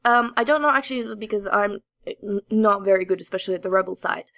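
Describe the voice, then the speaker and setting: A woman's voice: mid-range voice, fast, creaky, a bit bright, no room sound. A woman co-hosting a podcast, chatting casually about games in a hesitant, rambling way. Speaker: podcast co-host. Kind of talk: casual gaming discussion.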